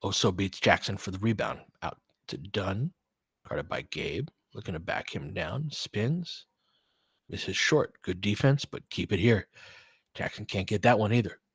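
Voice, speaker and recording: A man's voice: fairly low pitch, lively intonation, a little slow, breathy edge, dry recording. A man giving casual live basketball play-by-play and fan analysis, talking conversationally and thinking aloud in fragments.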